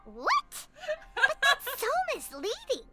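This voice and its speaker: A woman voicing a small, chirpy, easily baffled fairy companion in a video game, with a squeaky childlike voice and music behind her. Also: wildly swooping pitch, slow pace, heavily acted. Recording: loud game music underneath.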